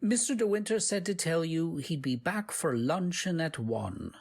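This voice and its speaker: A woman's voice: sits low, expressive, measured pace, vocal fry, darkish tone, husky, exaggerated voice. A woman playing a humble, courteous manor servant in an old-time radio drama, deferential and old-fashioned in manner. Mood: polite.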